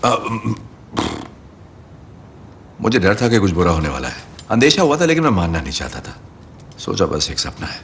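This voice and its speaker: A man acting in a film dub as a bitter, exhausted, sarcastic superhero, ranting emphatically in a husky voice. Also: lowish voice, very animated, moderate pace, pauses often, fairly bright tone, slight background noise, exaggerated voice. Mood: bitter, weary.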